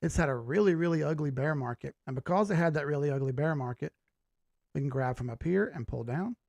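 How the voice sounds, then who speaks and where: Guy livestreamer talking off the cuff, bit high pitched but croaky, super slangy, dry sound no room. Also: a little fast, a little mellow, no echo. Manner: informal, slangy, thinking aloud. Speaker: host of a live market analysis stream. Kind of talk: casual live chart commentary.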